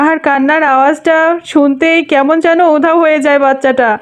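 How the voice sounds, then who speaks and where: A woman's voice: sits high, steady tone, a little fast, close to an everyday voice. A woman voicing an anxious, puzzled animal graveyard guard in a cartoon, fretting and wondering aloud. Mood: worried, puzzled.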